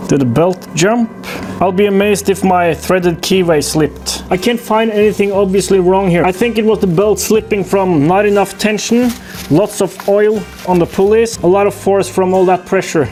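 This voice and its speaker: A man's voice: high-pitched, fairly even intonation, normal speed, a little mellow. A man narrating a workshop vlog, explaining things technically and thinking aloud as he goes. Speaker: host of a DIY engine-building channel.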